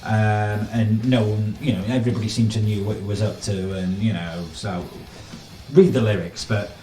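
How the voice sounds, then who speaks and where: A man's voice: on the low side, steady tone, moderate pace, mellow, soft background music. A man giving casual, sardonic and incredulous commentary on the news, often tossing out rhetorical questions. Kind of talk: casual opinion commentary, reading an article aloud. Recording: echoey room, mic at a distance.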